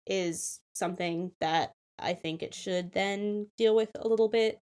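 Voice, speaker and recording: A woman's voice: medium pitch, measured pace, a little mellow. A young woman in her twenties giving a casual but careful critique of a film, hedging her points as she goes. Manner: thoughtful, hedging, many "like" fillers.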